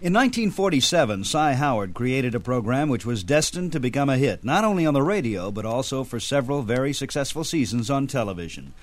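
A man presenting old-time radio highlights as an announcer, reading scripted narration. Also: very animated, brisk, few pauses.

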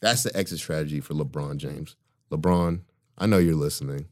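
A man with a deep voice co-hosting a casual podcast, talking emphatically and often laughing. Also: fairly quick. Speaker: podcast co-host. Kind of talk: casual chat.

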